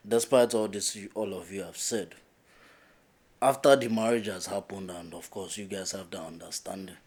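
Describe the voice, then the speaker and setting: A Nigerian man co-hosting a casual podcast chat, speaking haltingly with many restarts. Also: deep voice, fairly even intonation, a little mellow, husky. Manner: hesitant, restarts often. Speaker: podcast co-host.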